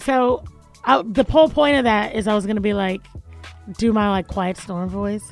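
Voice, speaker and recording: A woman with a raspy voice, hosting a talk radio show with playful, jokey banter. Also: medium pitch, fairly even intonation, normal speed, faint music behind.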